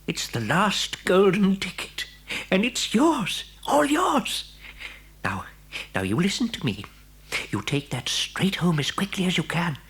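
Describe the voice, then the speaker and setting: Low-voiced, fussing, kindly shopkeeper. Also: female voice, very animated, measured pace. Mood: hushed, urgent. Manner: stammering, repeating words, chatty. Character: kindly, fussing shopkeeper serving a small boy. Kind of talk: acted audio dramatisation for children.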